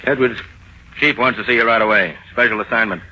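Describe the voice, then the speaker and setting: An actor playing a brisk, shrewd police captain with an urgent, commanding manner in an old-time radio crime drama. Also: male voice, medium pitch, sharp tone, slight background noise. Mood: businesslike. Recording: muffled vintage radio recording.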